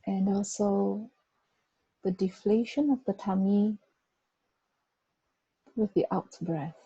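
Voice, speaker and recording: A woman guiding a body scan meditation, giving gentle, unhurried instructions with long pauses. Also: mid-range voice, husky, no echo. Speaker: meditation guide. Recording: dull, muffled lo-fi recording.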